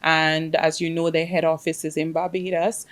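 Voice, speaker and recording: A woman from the Caribbean whose first language is Spanish, chatting as an interview guest and telling her story with many "um" pauses. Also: low voice, little pitch movement. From Caribbean, grew up in Grenada. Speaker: guest, fintech project manager. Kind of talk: casual interview chat.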